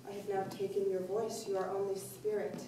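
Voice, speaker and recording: A female voice carries through a very echoey room from a distance, over faint noise. It is an actress as a solemn soothsayer in a stage play. She is commanding and ceremonial, guiding a trance with measured, probing words. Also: medium pitch, flat delivery, lightly acted. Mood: solemn, calm. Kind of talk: dramatic staged play reading. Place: indoor room, staged reading recorded from afar.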